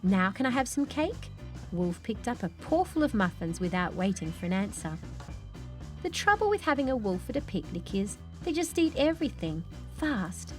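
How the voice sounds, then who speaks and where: A woman reading a children's picture book aloud in an expressive read-along style, with faint music behind. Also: medium pitch, moderate pace. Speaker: children's storybook narrator.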